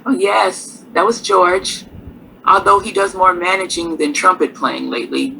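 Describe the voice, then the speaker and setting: A proud, sassy touring singer. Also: female voice, fairly low pitch, steady tone, measured pace, creaky, pushed and bright, slight background noise, exaggerated voice, low-quality recording. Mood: warm. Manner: self-assured, sassy, turns grave and defiant. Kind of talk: stage radio drama acting.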